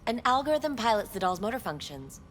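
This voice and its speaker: A woman playing a poised, enigmatic receptionist at a futuristic club in a video game, with formal, measured, coy delivery. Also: on the high side, big pitch swings, slight background noise. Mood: coy, inviting. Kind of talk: video game voice acting, scripted dialogue.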